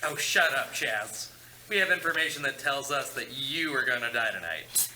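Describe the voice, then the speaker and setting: A man's voice: medium pitch, lively intonation, moderate pace, bright, forceful tone. A man playing a sassy, snide male ghost with a campy, sarcastic manner at a comedy table read. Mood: sassy, withering. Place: large carpeted meeting room. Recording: echoey meeting room, noticeable hiss.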